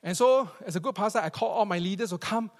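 Singaporean guy preaching in church, pretty high voice, emphatic, big echoey hall. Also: fast. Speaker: pastor, preacher. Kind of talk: church sermon with scripture reading and storytelling. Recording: reverberant hall.

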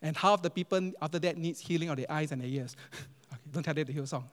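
A man with a Singaporean English accent preaching a sermon as pastor, animated and emphatic, repeating phrases for effect. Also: fairly high pitch, fast. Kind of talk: sermon to a congregation. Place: church auditorium. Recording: long reverb of a large church hall.

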